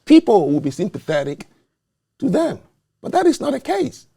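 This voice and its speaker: A man's voice: high-pitched, wildly swooping pitch, normal speed, vocal fry, dark tone, breathy edge. A man hosting a talk show, making his case in an emphatic, lecturing way. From not born in the US, naturalized citizen. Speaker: show host. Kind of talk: opinionated talk show discussion.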